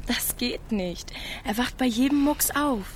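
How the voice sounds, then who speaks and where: A woman's voice: a little high, measured pace, slight background noise, natural voice. A woman acts a teenage village girl in a naturalistic radio play. She is wary and blunt, answering in short, dry phrases. A shy affection sits under the guardedness. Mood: reluctant, gentle. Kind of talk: naturalistic radio drama dialogue.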